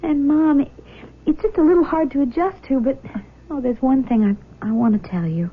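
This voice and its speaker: An actress playing a shaken but loving nineteen-year-old daughter in a 1970s radio drama, halting and exclaiming. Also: female voice, high voice, natural voice. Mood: tender, shaken. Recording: dull lo-fi old radio broadcast.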